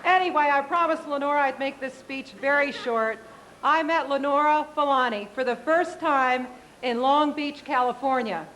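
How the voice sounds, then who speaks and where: A woman's voice: high voice, normal speed, fairly bright tone, slight background noise. A woman giving a short, rousing political speech at a party event, speaking directly to the crowd.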